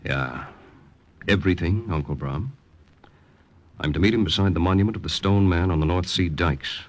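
A man's voice: low voice, normal speed. A man acting an earnest young American lieutenant working undercover in a wartime radio drama. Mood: earnest, guarded. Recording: noisy old-time radio recording.

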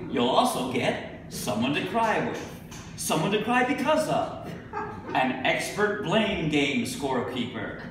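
A middle-aged man giving a live poetry reading, speaking deliberately with emphatic pauses. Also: on the high side, sing-song. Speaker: poet. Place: indoor venue with a staircase, live reading. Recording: echoey room from a distance, noisy.

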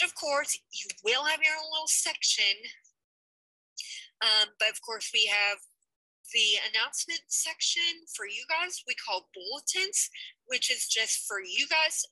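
A woman's voice: on the high side, lively intonation, a little slow, pushed and bright, no room sound, low-quality recording. A young woman in her twenties, a channel host making an earnest, rambling casual update from a small room on a headset mic. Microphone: headset mic on webcam.

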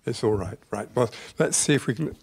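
A man with a slightly husky voice, mid pitch, hosts a lecture. He speaks politely and hesitantly in a college room. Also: fairly quick, a little mellow. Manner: hesitant, polite, with fillers and restarts. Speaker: event host.

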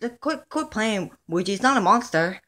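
A woman voicing a brave, bossy plumber hero in her own bright voice. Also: mid-range voice, lively intonation, moderate pace, voice pushed down, lightly acted. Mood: annoyed.

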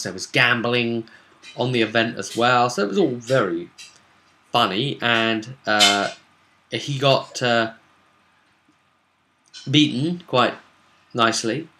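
Bright-voiced young man, halting and rambling. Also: medium pitch, a little hiss or hum. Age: young adult. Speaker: game master recapping a tabletop role-play session. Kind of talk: casual unscripted vlog recap. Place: small home room, indoors.

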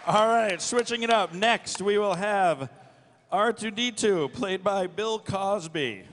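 A man's voice: high-pitched, slow, fairly bright tone. A man working as a panel moderator, announcing live from a stage. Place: large convention hall stage. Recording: echoey convention hall, faint noise.

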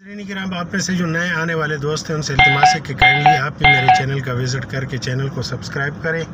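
A man's voice: medium pitch, recorded from a distance, very noisy. A Pakistani man, chatting to viewers while driving a city road for his vlog. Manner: chatty, addresses viewers as nazreen. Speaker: vlogger. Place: inside a moving car on a city road.

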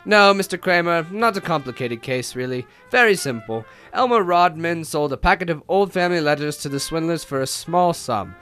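A man's voice: a little high, expressive, normal speed, few pauses, fairly bright tone, faint music behind. A man playing a shrewd, pompous, imperious armchair detective in an old-time radio drama, speaking formally and theatrically. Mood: explaining.

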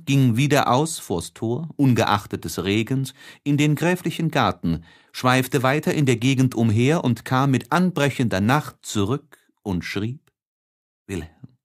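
A man narrating a literary audiobook in a measured, solemn, carefully enunciated reading. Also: medium pitch.

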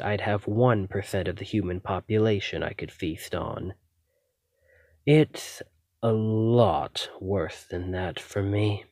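A man playing an obsessive, hungry, menacing male vampire in an ASMR roleplay, speaking intimately and deliberately with dramatic pauses. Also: lowish voice, darkish tone, dry recording, studio quality, full character voice.

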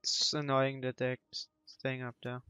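A man's voice: medium pitch, completely flat delivery, moderate pace, bright, forceful tone, clean tone, no room sound. A young man chatting casually over strategy gameplay on a headset, often hesitating and correcting himself with mild grumbling. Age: young adult. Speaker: gamer commentating co-op strategy play. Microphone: headset microphone. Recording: slightly dull, dry headset audio.

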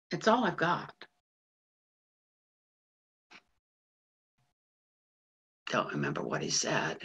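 An actress playing a lonely, lovesick woman who mops floors at a train station, talking bluntly and haltingly with plenty of swearing. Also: medium pitch, sharp tone, exaggerated voice. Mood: hurt. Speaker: actor in a monologue. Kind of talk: stage monologue.

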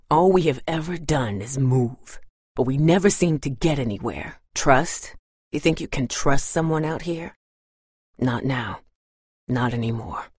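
An actress playing a tough, weary woman surviving an apocalypse in a video game, husky and blunt, speaking in a serious, terse way. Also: sits low, a bit bright, no room sound, studio quality, exaggerated voice. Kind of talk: dramatic video game voice acting.

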